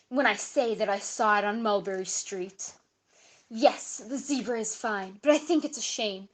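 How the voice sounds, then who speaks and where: A woman with a notably high voice performs as a guest storyteller. She reads expressively, with a rhythmic storybook delivery meant for children. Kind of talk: dramatic reading of a children's story.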